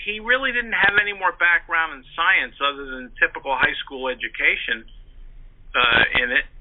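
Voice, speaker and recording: A man's voice: a little high, a little hiss or hum. Phone-line man, articulate and discursive. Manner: articulate, discursive, wordy phrasing. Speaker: interview guest, pulp fiction historian. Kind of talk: conversational interview, telling anecdotes. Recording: band-limited phone-line sound.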